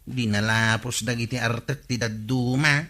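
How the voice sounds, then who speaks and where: An actor playing a grumbling village companion in an Ilocano radio comedy drama, with an Ilocano accent. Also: male voice, medium pitch, steady tone, normal speed, bright, forceful tone, close to an everyday voice. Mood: complaining.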